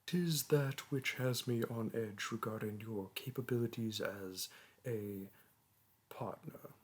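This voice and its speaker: A man's voice: lowish voice, slow pace, slightly rough. Man playing a proud, grandiloquent ancient dragon. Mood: haughty, tender. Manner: grandiloquent, archaic, formal phrasing. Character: proud, ancient male dragon teacher, haughty yet amorous. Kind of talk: romantic audio roleplay.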